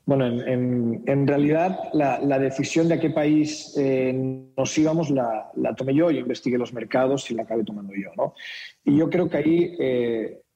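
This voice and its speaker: A man's voice: mid-range voice, normal speed, dark tone, muffled recording. A man from Spain with a Castilian accent slightly mixed with Mexican, explaining his business as a radio interview guest. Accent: Castilian Spanish with a slight Mexican mix. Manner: explanatory, frequent repetitions and tag questions. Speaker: company director, interview guest.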